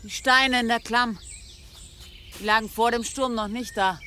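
An actress playing a hopeful, spirited medieval wife in a German radio drama, calm and gently firm. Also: female voice, on the high side, a little slow, a little hiss or hum. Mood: firm, curious.

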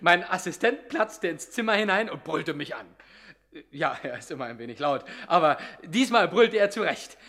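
A man's voice: fairly high pitch, lively intonation, normal speed, a bit bright. A man playing a self-important, falsely modest police commissioner with theatrical delivery in a 1960s German radio comedy. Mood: smug, animated.

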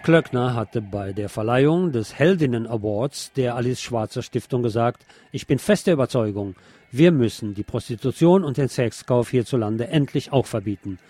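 A man's voice: medium pitch, dark tone, no room sound. A man reading scripted news in as a narrator. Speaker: news reader.

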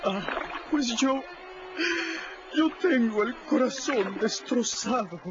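Melodramatic fake duke, slow and high, whiny and theatrical, dull sound with music. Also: male voice. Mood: self-pitying. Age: 30s. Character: self-pitying young swindler posing as duke. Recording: dull audio with music behind.

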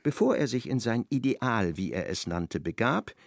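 A man narrates a literary radio play with ironic expressiveness. His mid-pitched voice is gravelly and highly animated, recorded dry and close. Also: moderate pace, no room sound.

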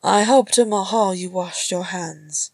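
A woman voicing a sharp-tongued female dwarf, a young mother who teases dryly and bosses. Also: mid-range voice, unhurried, dry recording. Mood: dry, scolding. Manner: dry, teasing, bossy.

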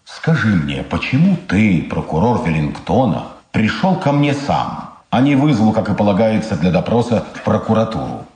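An actor playing a proud, principled blind war veteran in a radio drama, measured, restrained and ironic. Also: male voice, fairly low pitch, big pitch swings, a little mellow, echoey room. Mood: bitter irony.

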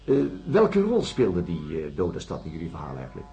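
A man's voice: extremely low pitch, huge pitch swings, moderate pace, dark tone, dull audio, soft background music, full character voice. An actor playing a persistent male doctor in a radio play, probing with questions. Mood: questioning.

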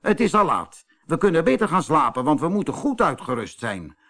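A man voicing a steady badger who leads his animal friends in a firm, rallying way, in a children's storybook. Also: a little high, a little fast, exaggerated voice. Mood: firm, sensible.

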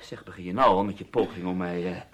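An actor in a radio drama playing a nervy, suspicious husband with an insistent, chattering manner. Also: male voice, sits low, steady tone, quick pace, noisy background, close to an everyday voice. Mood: angry, accusing. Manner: chattering, insistent, seeks agreement with tags. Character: nervy, suspicious husband, falsely hearty, quick to accuse.

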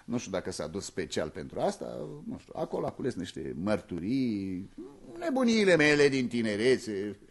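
Academician vârstnic, neliniștit, cu intonație amplă. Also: male voice, lowish voice, wildly swooping pitch, few pauses, heavily acted. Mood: agitated, uneasy. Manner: theatrical, worried, confiding. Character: anxious, self-justifying older male academician confiding in friend. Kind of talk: radio play dialogue.

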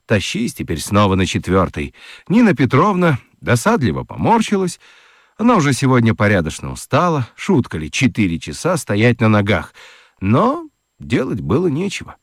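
A man narrating a scripted children's radio story in an expressive, dramatic storytelling manner. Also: medium pitch, moderate pace, no room sound, studio quality.

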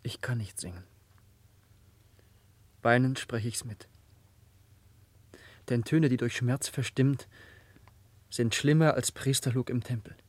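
An actor playing a proud, fearless young prince of the wilds in a classical radio play, speaking in a poetic, declamatory way. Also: male voice, mid-range voice, takes it slowly, pauses often, darkish tone, no echo. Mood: grieving, hushed.

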